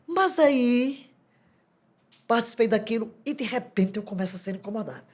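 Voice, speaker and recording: Older female pastor, Brazilian Portuguese accent, animated storyteller who breaks into laughter and acts out the voices in her stories. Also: on the high side, moderate pace. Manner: animated, laughs often, acts out quoted dialogue. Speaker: pastor, podcast guest. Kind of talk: testimony storytelling.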